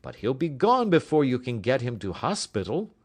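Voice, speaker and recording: An excitable, rough-and-ready onlooker from a Victorian street scene in a storybook, acted out by a male narrator. The voice is raised in pitch, exclamatory and colloquial, full of earnest agitation. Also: moderate pace. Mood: excited.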